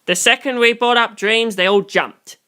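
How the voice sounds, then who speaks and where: A reader voicing an excitable, blunt male investigator who warns a friend in emphatic, profane slang. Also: mid-range voice, normal speed, clean tone, voice pushed up, lightly acted. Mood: urgent.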